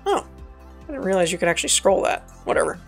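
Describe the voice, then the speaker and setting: A woman with a raspy, dry voice giving casual let's play commentary, reading game text aloud and correcting herself as she goes. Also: fairly low pitch, big pitch swings, quick pace, soft background music. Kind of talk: casual gameplay tutorial commentary.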